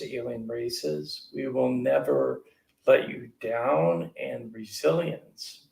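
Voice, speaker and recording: A man's voice: medium pitch, gravelly, a little mellow. A man hosting a live online interview, chatty and informal. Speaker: host. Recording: roomy, distant from the mic.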